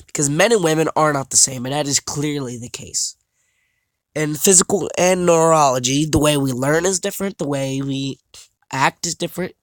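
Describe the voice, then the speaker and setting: A young man vlogging over slides, talking haltingly with many fillers and restarts, his voice a little scratchy and his nose stuffed up. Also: on the high side, no room sound. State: congested, slightly scratchy voice. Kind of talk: casual talk over slides.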